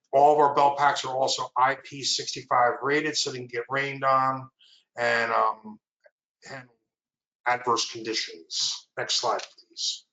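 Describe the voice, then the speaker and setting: A man's voice: medium pitch, echoey room, rough recording. A man giving a product presentation, walking through slides and asking for the next one. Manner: steady explanatory walkthrough, asks for the next slide.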